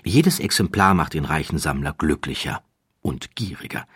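A man with a low, slightly rough voice reads scripted documentary narration. He is measured and emphatic, with a wry edge. The sound is dry and close to the mic. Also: lively intonation, fairly bright tone, no echo.

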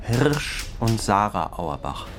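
A man playing an anxious young army private searching for his cousin, speaking haltingly and earnestly and repeating himself. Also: on the low side, big pitch swings, full character voice. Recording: clear ambient background noise.

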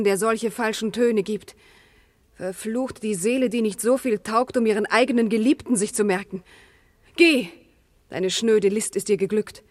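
An actress playing an anguished, proud noble wife in a classical verse radio drama, impassioned and declamatory. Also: female voice, medium pitch, darkish tone, no room sound, lightly acted.

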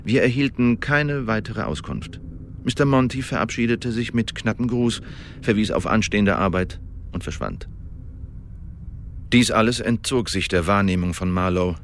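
Radio drama lead, male actor: Elizabethan agent making a formal report, lowish voice, precise, measured, pedantic. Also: slight background noise, natural voice. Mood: careful, narrating. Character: Elizabethan male secret agent giving a careful official report. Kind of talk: radio drama monologue, testimony.

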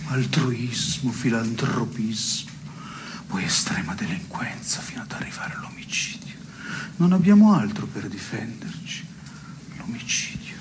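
An actor playing a brooding, neurotic, melancholy man who philosophises in measured, literary theatre dialogue. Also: mid-range voice, big pitch swings, whispered. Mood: gloomy musing. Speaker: stage actor and playwright.

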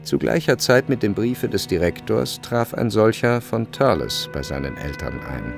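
An actor as the measured literary narrator of a radio play, delivering scripted prose from a novel. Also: male voice, fairly low pitch, expressive, creaky, faint music behind.

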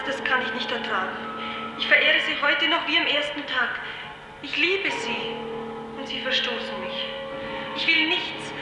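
Adoring, servile heroine, played by a woman, high voice, fervent melodrama, echoing old radio sound over music. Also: lightly acted. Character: devoted, submissive woman pleading with her idolized master. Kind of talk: 1950s German radio play acting.

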